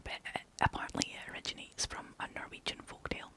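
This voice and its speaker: A storyteller reading folk tales in a breathy, unvoiced ASMR whisper, gently and expressively. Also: fast talker. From United Kingdom.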